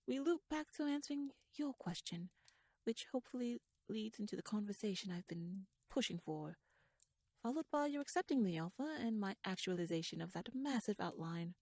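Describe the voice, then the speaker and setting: A woman playing a shrewd, verbose young noblewoman in an ASMR audio roleplay, speaking softly in formal, wry, rambling phrases and often slipping into breathy whispers. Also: mid-range voice, animated, normal speed, dry recording. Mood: eager, persuasive. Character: shrewd, wordy young noblewoman, nervous yet playfully bold schemer. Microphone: close microphone, dry.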